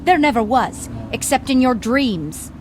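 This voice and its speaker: Scornful, high-voiced American wife. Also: female voice, lively intonation, a little slow, noisy background. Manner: sarcastic, biting, commanding. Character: bitter, scornful, money-hungry wife. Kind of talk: radio drama acting.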